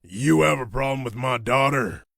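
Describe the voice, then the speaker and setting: A woman voicing a menacing, protective father with a gravelly voice in a cartoon animatic. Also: very deep voice, moderate pace, dry recording, clean studio sound, voice pushed down. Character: menacing protective father confronting someone over his daughter.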